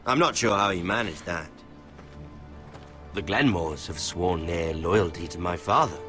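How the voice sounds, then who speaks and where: A man's voice: low voice, unhurried, over music. An actor playing a sadistic young nobleman villain in a video game drama, drawling with mock friendliness and theatrical menace. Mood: mock-friendly menace. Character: sadistic, mocking young nobleman villain who toys with victims.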